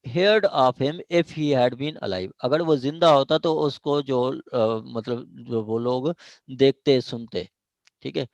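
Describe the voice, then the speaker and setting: Slow-paced Pakistani man teaching law online. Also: mid-range voice, a little mellow, no echo. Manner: repeats and rephrases points, often checking in. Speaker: law lecturer. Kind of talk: online law lecture, explaining statute articles. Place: indoors, online meeting.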